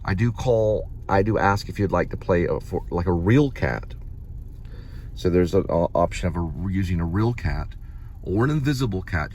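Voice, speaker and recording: A man giving a casual, rambling vlog monologue, halting often and drifting off on tangents, recorded inside a car. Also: darkish tone. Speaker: vlogger, amateur playwright, delivery driver. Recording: noisy car interior, vehicle rumble.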